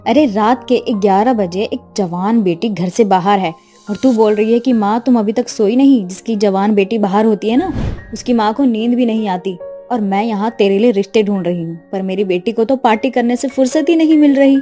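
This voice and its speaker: A woman playing a middle-aged mother and mother-in-law who instructs and gently scolds in a Hindi moral story cartoon. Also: medium pitch, normal speed, soft background music, close to an everyday voice. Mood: scolding, worried.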